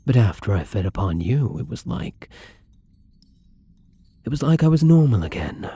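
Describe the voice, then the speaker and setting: A man playing a gentle, lonely, tormented vampire in an intimate ASMR roleplay, speaking softly and hesitantly in a breathy, brooding way, often near a whisper. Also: medium pitch, very animated, no room sound, heavily acted. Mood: brooding, haunted. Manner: slow, hesitant, brooding, tender. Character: melancholy young male vampire, gentle, lonely, tormented, once human.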